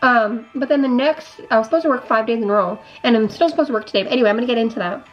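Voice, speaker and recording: A woman's voice: on the high side, very fast, lo-fi sound, soft background music. A young adult woman with a slightly stuffy, sick-sounding voice and a sore throat, giving a casual, rambling life update as a vlogger. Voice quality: slightly congested nasal voice. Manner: rambling, repeats and restarts thoughts. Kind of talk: casual chatty life update while doing makeup.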